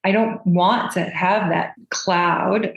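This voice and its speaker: A woman's voice: lowish voice, fairly even intonation, slow, vocal fry, echoey room, lo-fi sound. A woman speaking as a podcast guest, answering casually and conversationally in an effusive, enthusiastic manner. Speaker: podcast guest, spiritual channeler.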